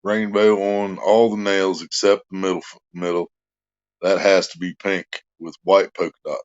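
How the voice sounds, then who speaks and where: A man's voice: deep voice, level tone, normal speed, no room sound, muffled recording. A man, chatting crudely at home and joking around. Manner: crude joking, bursts into loud off-key singing. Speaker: vlogger. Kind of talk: casual chat at home.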